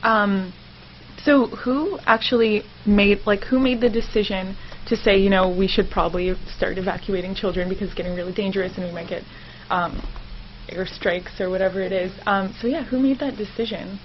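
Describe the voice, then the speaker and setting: A woman's voice: mid-range voice, normal speed. A young adult woman co-hosting a radio show, asking questions in an informal conversational way. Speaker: radio co-host, interviewer. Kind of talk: casual interview questions. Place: campus radio station. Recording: slightly muffled, faintly noisy radio audio.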